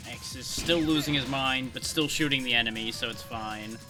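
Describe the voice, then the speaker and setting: A man's voice: mid-range voice, normal speed. A man giving casual, wry gameplay commentary as a streamer, in an offhand way. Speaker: game streamer. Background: game music.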